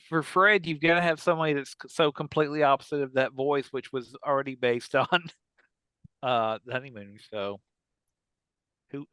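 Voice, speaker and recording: A man's voice: a little high, sharp tone, clear, resonant tone, no echo. A man hosting a podcast, chatting in a casual, joking way with the other people on the show. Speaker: podcast host. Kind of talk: casual joking panel chat.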